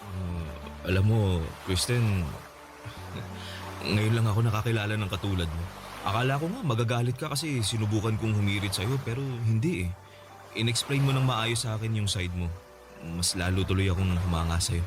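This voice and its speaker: A man's voice: fairly low pitch, moderate pace, music in the background, natural voice. A man with a Filipino accent playing a patient, sincere young suitor in a Tagalog radio drama, speaking in a conversational way. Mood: apologetic, admiring.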